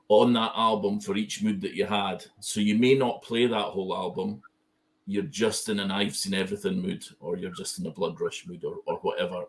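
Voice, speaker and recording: A man thinking aloud in a relaxed chat, hesitant and full of restarts. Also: low voice. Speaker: guest fan. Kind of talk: casual conversation.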